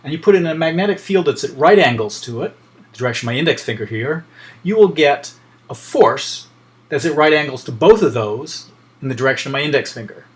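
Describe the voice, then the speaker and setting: A man's voice: a little high, lively intonation, a little fast. A man lecturing in an informal, explanatory way, thinking aloud with frequent hesitations.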